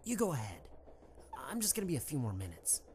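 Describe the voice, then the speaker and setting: Faint noise sits behind a woman playing a young male pony prince with a very low, lively voice. He is a fond, protective husband, earnest and conversational, in an amateur cartoon-style audio drama. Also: fast talker. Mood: worried, earnest. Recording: slightly noisy amateur microphone recording.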